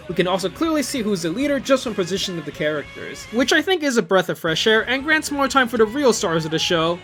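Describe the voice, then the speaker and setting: A man giving casual, enthusiastic video commentary in an excitable, conversational way, full of exclamations and asides. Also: sits high. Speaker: video host commenting on visual novel openings. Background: music playing behind the voice.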